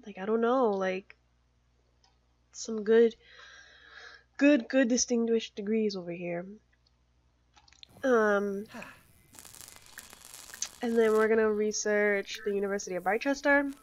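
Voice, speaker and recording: A woman's voice: mid-range voice, slow, pauses often, highs cut off. A young woman, a mother, chatting casually through a let's play, filling her talk with "like" and little giggles. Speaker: gaming YouTuber.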